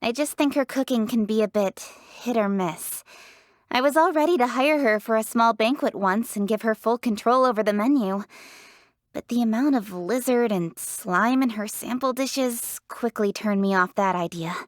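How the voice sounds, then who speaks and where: An actress plays a proud, diligent young female official from a video game, with a fairly high voice. She is articulate and matter-of-fact, stating her views assertively. The recording is close and dry. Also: normal speed, dry recording, natural voice. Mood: reflective, candid. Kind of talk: scripted video game character voice lines.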